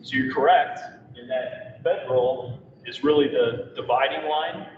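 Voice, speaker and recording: Guy walking folks through drainage plans, plain steady talk, muffled audio with room noise. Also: mid-range voice, a little slow. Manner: plain, methodical explaining. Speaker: engineer presenter. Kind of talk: technical explanation at a public meeting. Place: large meeting room. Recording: echoey hall, far from mic, dull, background noise.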